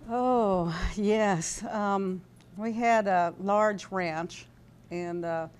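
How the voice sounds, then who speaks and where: A woman's voice: medium pitch, drawn-out words, a little mellow, clear, resonant tone. A woman in her sixties from California with an American accent, reminiscing casually and hesitantly in a studio interview. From California, United States. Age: 60s. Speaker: interview guest. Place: TV studio, indoors at a desk. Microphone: lapel mic.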